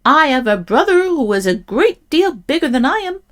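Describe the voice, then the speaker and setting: A woman's voice: sits high, big pitch swings, normal speed, voice pushed up. A woman voicing a tiny, timid young male goat from a storybook in a small, thin, pleading voice. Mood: frightened pleading. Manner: pleading, meek.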